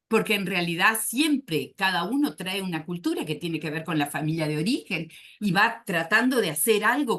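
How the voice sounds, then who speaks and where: A woman presents to a panel in an academic, reflective way. Her pitch moves a lot, rising and falling as she reaches for words, with frequent small hesitations. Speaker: psychoanalyst panelist. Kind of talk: academic conference talk.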